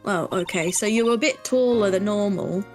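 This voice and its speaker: A woman's voice: mid-range voice, moderate pace, darkish tone, faint music behind, natural voice. A woman voicing a hapless, earnest young waitress in a live fan dub of a video game, chatty, hesitant and polite.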